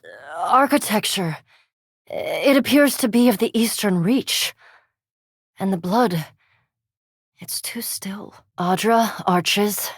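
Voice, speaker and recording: Fantasy game role: a female vampire scholar, coolly disdainful, erudite and exact, in a higher register with a dry, close sound. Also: no room sound. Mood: wary, intrigued. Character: scholarly, proud, sceptical female vampire animancer. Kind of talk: fantasy video game voice acting.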